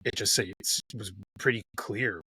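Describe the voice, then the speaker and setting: A man with a husky voice in a close, dry recording. He speaks as an interview guest and game master, thinking aloud in casual chat and repeating phrases for emphasis. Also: lowish voice, normal speed, sharp tone, no room sound.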